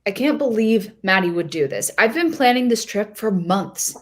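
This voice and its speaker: A woman narrating a short story in the first person as a lovesick, insecure young woman, earnest and expressive. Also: mid-range voice, moderate pace, natural voice. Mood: frustrated, hurt.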